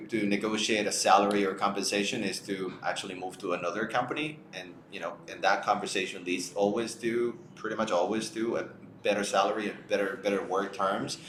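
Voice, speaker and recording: A man's voice: lowish voice, fairly bright tone. A man co-hosting a casual conversational discussion, talking in an earnest, explanatory way. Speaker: show co-host. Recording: roomy echo, light background noise.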